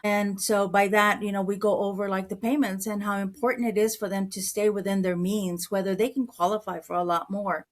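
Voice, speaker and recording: A woman's voice: mid-range voice, little pitch movement, brisk, hardly any pauses. A middle-aged woman from Mexico, a real estate broker answering interview questions in an earnest, conversational way, often repeating words as she thinks.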